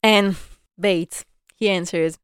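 A woman's voice: medium pitch, steady tone, slow pace, clean tone. A young woman chatting through a casual episode recap, enthusiastic and full of "like" and "you know" fillers. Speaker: podcast host recapping a TV drama.

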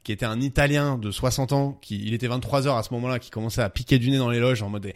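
A man, a podcast guest, telling a funny anecdote in casual chat. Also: medium pitch. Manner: fast, animated anecdote telling with laughs.

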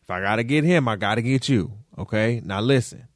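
A podcast host from Detroit doing solo commentary, roasting people in a slangy, playful way with lots of repetition. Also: male voice, mid-range voice, expressive, a little fast, dry recording, studio quality.

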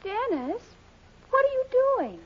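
An American actress playing a worried, bossy young daughter, earnest and dramatic in a 1940s radio sitcom. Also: female voice, very high-pitched, very animated, unhurried, noisy background, exaggerated voice. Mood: insistent. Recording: muffled 1940s radio recording, studio audience.

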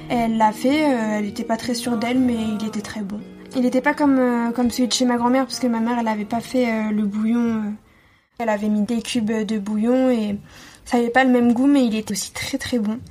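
A woman speaks casually and a little hesitantly as a podcast guest. Her voice is fairly high with little melody. Music is clearly audible behind her. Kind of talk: casual personal account.

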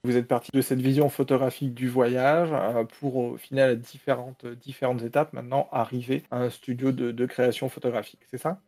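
A man's voice: medium pitch, little pitch movement, fairly quick, few pauses, warm tone. A young man hosting a podcast interview, asking long questions and rephrasing them with restarts. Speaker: podcast host.

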